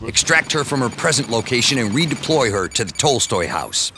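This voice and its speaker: A man's voice: medium pitch, sing-song, brisk, heavy background noise, close to an everyday voice. A man playing a stern military commander. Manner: clipped, commanding briefing delivery. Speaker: mission commander giving briefings. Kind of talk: game cutscene voice acting. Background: loud music.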